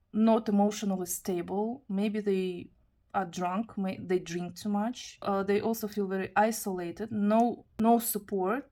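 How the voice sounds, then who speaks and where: A woman from Kazakhstan, not an English speaker, giving a tarot reading in careful, halting English. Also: mid-range voice. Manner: careful, halting non-native English with restarts. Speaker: tarot reader.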